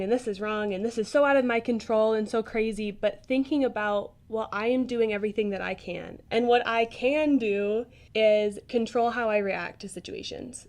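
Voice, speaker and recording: Young woman, interview guest, somewhat high voice, casual chat, sincere encouraging delivery. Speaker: podcast guest.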